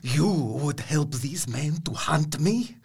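An actor playing an ancient, imperious vampire count who speaks in a grandiose, commanding manner in an audio drama. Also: male voice, on the high side, lively intonation, takes it slowly, creaky, darkish tone, breathy edge, full character voice. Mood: menacing, scornful.

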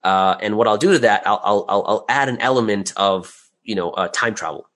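Low-pitched man chatting as an interview guest. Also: fairly quick, a bit bright. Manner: chatty, frequent "you know" and "right?" tags. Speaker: guest, audio drama creator. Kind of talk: casual interview conversation.

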